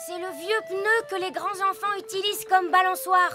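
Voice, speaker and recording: A woman's voice: very high-pitched, steady tone, normal speed, music in the background. An actress voicing an eager, cheerful little elf boy in a French cartoon dub, childlike and enthusiastic.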